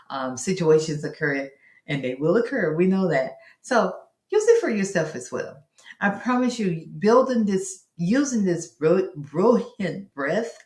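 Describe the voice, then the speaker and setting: Lower-voiced woman coaching parents, roomy echo. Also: darkish tone. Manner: encouraging, emphatic repetition of key phrases. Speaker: host of a parenting tips show. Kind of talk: instructional talk to parents, direct address. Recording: roomy, echoing voice recording.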